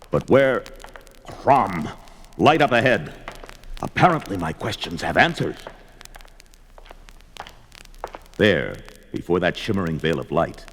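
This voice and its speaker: An actor playing a fierce, brooding barbarian warrior in theatrical, declamatory 1970s audio drama style, talking aloud to himself. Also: male voice, low-pitched, slight background noise, full character voice. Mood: tense, resolved.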